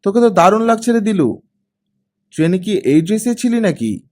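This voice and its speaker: Audiobook role: breezy brotherly guy, acted by a male reader, blunt and teasing, very lively pitch swings. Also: moderate pace. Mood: admiring, casual. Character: breezy, teasing man, older brotherly family acquaintance. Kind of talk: audiobook character acting.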